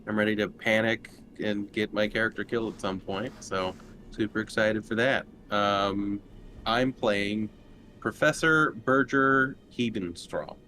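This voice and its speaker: A man's voice: fairly low pitch, unhurried, sharp tone, slight background noise. A man chatting casually and jokingly as a player on a tabletop game show. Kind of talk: casual chat, introducing himself.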